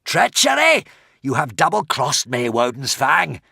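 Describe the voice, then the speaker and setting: A man's voice: deep voice, lively intonation, a little slow, gravelly, pushed and bright, husky, dry recording, clean studio sound, full character voice. A man voicing a huge, savage, ancient male sea dragon who hisses with menace. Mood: furious. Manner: hissing, furious, menacing.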